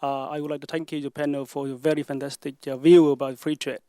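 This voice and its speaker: Man from Vietnam, raised-pitch question. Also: level tone, dark tone, clean tone, no echo. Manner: earnest, halting with restarts. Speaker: audience member asking a question. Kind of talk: public Q&A remark.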